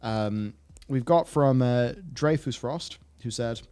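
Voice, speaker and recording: A man's voice: medium pitch, slow pace, dark tone, clean tone. Rambling male gaming host, thinking aloud. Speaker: host reading and answering viewer comments. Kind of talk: casual gaming discussion.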